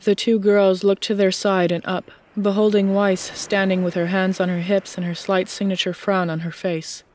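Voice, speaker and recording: A woman's voice: mid-range voice, little pitch movement, normal speed, darkish tone. A woman reading scripted fiction as the narrator of an audiobook.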